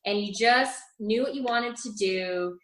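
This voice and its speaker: A woman's voice: fairly high pitch, unhurried, fairly bright tone. Upbeat woman guest in an echoey room. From Scotland. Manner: friendly, upbeat, laughs easily. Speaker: guest, personal trainer. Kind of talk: casual interview chat.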